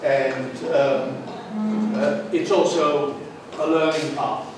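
Slow, measured man in a hall. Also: mid-range voice, steady tone, music in the background. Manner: measured, says he has a loud voice. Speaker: workshop participant. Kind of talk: group discussion. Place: large conference room. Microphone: far room mic. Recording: echoey hall, far from the mic.

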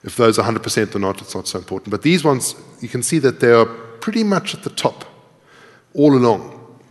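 A man's voice: mid-range voice, big pitch swings, a little fast. A middle-aged man giving a live coding lecture, explaining and thinking aloud as he types. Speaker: conference speaker. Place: large tiered lecture hall. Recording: echoey lecture hall with long reverb.

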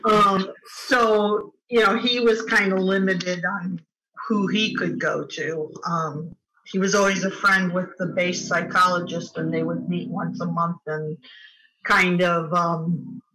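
A woman in her sixties speaking in a measured, thoughtful way as a panel guest on a video call from home. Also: lowish voice, steady tone, bright, forceful tone, low-quality recording. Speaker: panel guest, veteran's wife. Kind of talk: reflective panel talk. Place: room at home, indoors.

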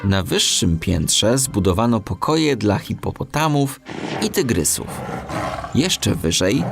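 A man narrating a children's audiobook in an expressive storytelling style. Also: on the low side, slow pace, vocal fry.

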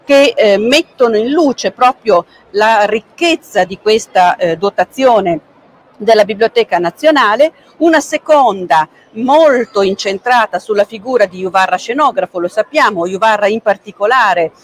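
A middle-aged woman, a curator presenting a book at a public talk, speaking in a measured way with frequent hesitant pauses, recorded by a camera at some distance in a large book fair hall. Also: mid-range voice, lively intonation, a little hiss or hum. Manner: measured, frequent "eh" pauses, gestures while talking.

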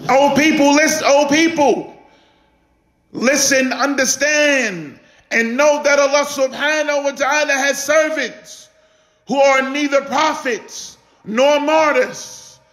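High-pitched man preaching slowly and passionately. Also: a bit bright. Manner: exhortatory, emphatic repetition and rhetorical questions. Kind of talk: impassioned sermon. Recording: echoey room.

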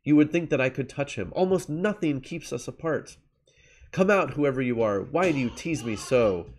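A man's voice: medium pitch, lively intonation, moderate pace, pitched above the performer's own voice. A man voicing a young man in love with his own reflection, reading in a yearning, anguished way.

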